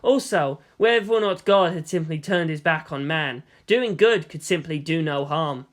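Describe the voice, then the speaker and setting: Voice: mid-range voice, normal speed. A narrator reading a scripted fan fiction story in audiobook style.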